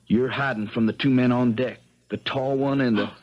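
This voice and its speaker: A man's voice: medium pitch, steady tone, moderate pace, lightly acted. A man playing a shrewd, folksy young lawyer, telling and acting his story in a 1970s radio drama. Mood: cool, probing. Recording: dull 1970s radio broadcast recording.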